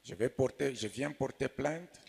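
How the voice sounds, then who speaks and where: A man's voice: medium pitch, a little slow, creaky, slightly rough. A man preaching as a pastor in short, emphatic, repeated phrases that leave room for an interpreter, recorded in a large echoing hall. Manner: emphatic, repetitive, swings between quiet asides and shouted declarations. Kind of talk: sermon delivered phrase by phrase for an interpreter. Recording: reverberant hall sound.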